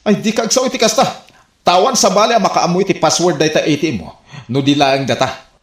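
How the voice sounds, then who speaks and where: A man's voice: high voice, brisk, close to an everyday voice. A man playing an exasperated, scolding husband with an Ilocano accent in a radio comedy drama. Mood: suspicious, exasperated. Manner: scolding, questioning. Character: exasperated scolding husband worried about lost savings. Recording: roomy, echoing sound.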